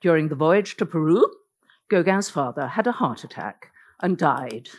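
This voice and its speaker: A woman's voice: low-pitched, lively intonation, moderate pace, breathy edge. A woman whose first language is Norwegian, giving an illustrated lecture as a biographer, telling the story vividly with wry asides.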